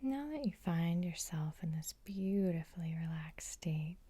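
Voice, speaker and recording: A woman hypnotherapist guiding sleep hypnosis in a soothing, unhurried, breathy ASMR whisper, close to the microphone. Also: on the low side, lively intonation, mellow, no echo.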